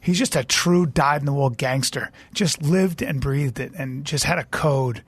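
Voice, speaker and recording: A man's voice: mid-range voice, moderate pace, breathy edge, no room sound. A man co-hosting a comedy talk show, riffing with crude humor. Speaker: podcast co-host. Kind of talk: casual comedic banter.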